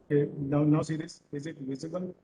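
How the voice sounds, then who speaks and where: A man from Punjab with a Punjabi accent, giving an online lecture over a video call and pausing often with fillers. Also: a little high, fairly even intonation, dark tone, slight background noise, poor audio. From Punjab, India. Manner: hesitant, many filler pauses. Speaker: guest lecturer.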